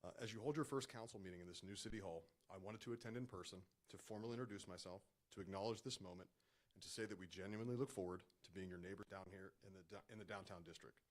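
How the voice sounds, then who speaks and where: A man addresses a city council from the podium, reading prepared remarks at a brisk clip. His voice sits a little low and carries loudly through the council chamber. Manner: formal, reads from prepared remarks, loud voice. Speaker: resident speaking at public comment. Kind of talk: formal prepared statement. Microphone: podium microphone, partly dead.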